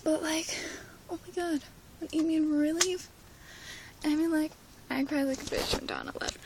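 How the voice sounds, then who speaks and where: A woman's voice: sits high, steady tone, normal speed, noisy background. A young woman ranting casually and dramatically as a fan, often dropping into a whisper. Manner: gushing, dramatic, slips into whispers.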